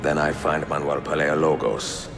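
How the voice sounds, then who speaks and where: A wry, unflinching game assassin, with a low voice and an Italian accent. He speaks dryly and with assurance over very prominent music. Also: completely flat delivery, moderate pace, vocal fry. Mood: resolute. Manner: terse, dry, confident. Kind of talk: video game cutscene acting. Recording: loud music behind the voice.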